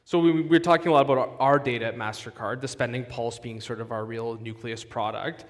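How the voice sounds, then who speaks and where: A man's voice: medium pitch, fairly even intonation, brisk. Man presenting in an echoing hall. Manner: polished, explanatory, with occasional restarts. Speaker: conference presenter and moderator. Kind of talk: conference talk and onstage interview. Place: large conference hall. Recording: long reverb of a conference hall.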